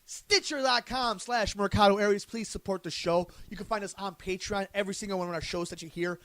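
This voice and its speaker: A man's voice: high-pitched, steady tone, fairly quick, fairly bright tone, no room sound. A man hosting a podcast in a casual, bantering style. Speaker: sports and entertainment podcast host.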